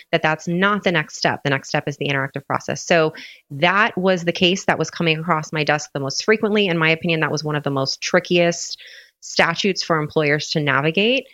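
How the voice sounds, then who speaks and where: A woman's voice: low-pitched, fairly bright tone, dry recording, muffled recording. A woman on a podcast, a lawyer guest explaining workplace law with frequent fillers and restarts. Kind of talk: casual podcast interview.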